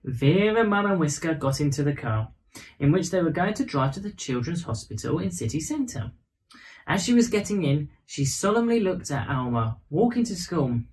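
A man's voice: fairly high pitch, big pitch swings, normal speed, darkish tone, rough recording. A man in his thirties reading a children's bedtime story expressively. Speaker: storybook reader.

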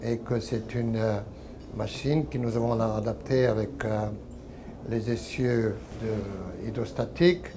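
A man in his sixties speaking non-native French with a foreign accent, giving a company update in an interview in a halting, word-searching way. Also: lowish voice, fairly even intonation. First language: not French. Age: 60s. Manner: halting, word-searching delivery with frequent hesitations. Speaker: company president and owner being interviewed. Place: small exhibition stand room at trade fair. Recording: clear background noise of trade show.

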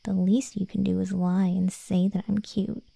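A woman voicing a cocky, cheeky undead cat girl in a soft, breathy, slangy ASMR roleplay. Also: mid-range voice, level tone, normal speed, no echo. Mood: cheeky, cajoling. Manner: soft and breathy, often near whispering, slangy, teasing.

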